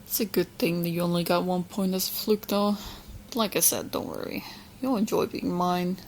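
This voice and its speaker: A woman playing a sweet, obsessed young witch girlfriend in an ASMR roleplay, teasing and smug with coy, halting asides. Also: fairly low pitch, darkish tone, a little hiss or hum, poor audio. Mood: smug, adoring.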